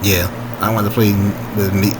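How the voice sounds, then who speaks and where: A man's voice: on the low side, little pitch movement, normal speed, noisy background, lightly acted. A man voicing a competitive, easily embarrassed male story hero in a casual, plainspoken way. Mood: encouraging.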